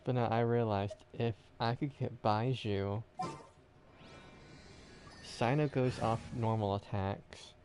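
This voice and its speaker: A man's voice: mid-range voice, fairly even intonation, slow, pauses often, over music. A man doing casual gaming stream commentary, rambling and thinking aloud. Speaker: game streamer.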